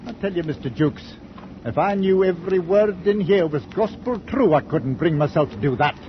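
A noisy, dull 1940s radio recording of a man playing an old merchant captain. He is stubborn and literal-minded, speaking tersely and pompously in a fairly high male voice. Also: expressive, normal speed, natural voice. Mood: stubborn, commanding. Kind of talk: 1940s radio drama acting.

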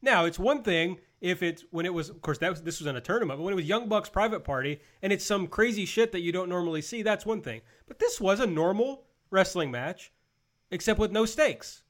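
A man's voice: high-pitched, animated, a little fast, fairly bright tone. A man hosting a casual panel show, recapping and giving blunt opinions. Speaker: host of a wrestling review show.

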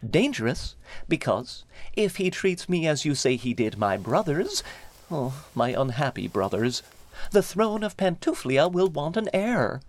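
A man's voice: fairly high pitch, sing-song, measured pace, full character voice. A man voicing a clever, smug young prince who talks in a pompous, precise way. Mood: smugly reasoning. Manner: pompous, precise, lightly amused.

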